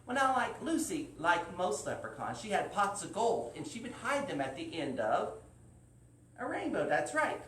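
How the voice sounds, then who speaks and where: A man's voice: sits high, big pitch swings, moderate pace, a bit bright. A man hosting a live children's story time, informal and drawing out words for young listeners. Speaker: library storyteller and host. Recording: roomy, distant mic, faint noise.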